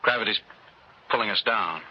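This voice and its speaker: A man's voice: lowish voice, moderate pace, lightly acted. An actor playing a plain-spoken, morally indignant working man in a 1960s radio drama, speaking in earnest, colloquial phrases. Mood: hesitant, brooding. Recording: muffled, hissy old radio recording.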